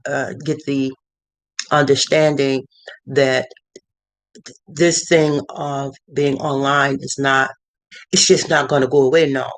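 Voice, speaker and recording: Middle-aged art consultant, mid pitch, loose rambling delivery, dead-dry room. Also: flat delivery, slow pace, long pauses, no echo, rough recording. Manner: rambling, many fillers and restarts. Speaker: visual artist and art consultant. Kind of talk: casual talking-head advice. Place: cluttered home studio.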